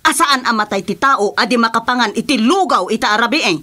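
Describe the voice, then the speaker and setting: A woman's voice: fairly high pitch, expressive, quick pace, pushed and bright. A woman with an Ilocano accent playing a bossy, exclamatory female village captain in a radio comedy drama. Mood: scolding. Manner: exclamatory, scolding.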